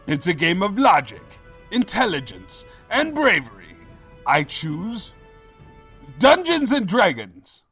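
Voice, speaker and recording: A man's voice: sits high, wildly swooping pitch, slow, sharp tone, slightly rough, faint music behind, exaggerated voice. A man playing a pompous, scheming evil pony king in a theatrical, grandiose manner, in a fan fiction audio drama. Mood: grandiose, challenging.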